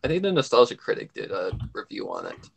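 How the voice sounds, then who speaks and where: Man chatting casually, mid-pitched and rambling. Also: huge pitch swings, quick pace, creaky, noisy background. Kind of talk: casual chat.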